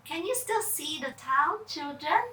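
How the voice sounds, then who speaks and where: A woman storyteller reads for children from a little way off in an echoey room. Her delivery is slow and theatrical, drawing young listeners in with questions. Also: sits high, expressive, sharp tone. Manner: theatrical, puts questions to child listeners, vocal sound effects. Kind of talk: dramatic children's story reading. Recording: echoey room, far from mic.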